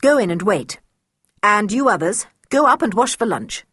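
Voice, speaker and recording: A woman's voice: mid-range voice, sing-song, moderate pace, fairly bright tone, husky, no room sound. A woman voicing a stern, suspicious male tutor in a storybook reading, with clipped, reproving speech.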